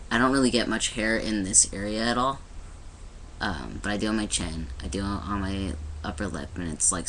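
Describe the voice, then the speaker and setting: Bright-voiced young man rambling casually. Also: fairly low pitch, a little hiss or hum, low-quality recording. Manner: rambling, many "like"s and restarts, laughs easily. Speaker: vlogger. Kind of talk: casual personal video diary. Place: indoors in front of a light.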